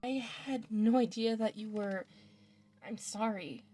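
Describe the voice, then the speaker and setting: Woman playing an anxious student, soft mellow voice. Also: a little high, unhurried, no room sound. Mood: hesitant, concerned. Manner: hesitant, emotional. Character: anxious, earnest high school student confiding in a mentor. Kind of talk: dramatic reading of fan fiction. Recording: music under the voice.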